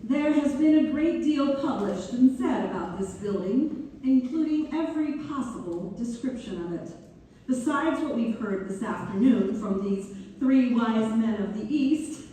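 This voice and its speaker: A woman playing a gracious, wryly humorous benefactor delivering a measured, playful dedication speech in a staged reading. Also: a little high, lively intonation, mellow. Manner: measured, deliberate, playful oratory. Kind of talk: theatrical read-through of a formal speech. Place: theater hall, live staged reading. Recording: echoey hall from far off, faint noise.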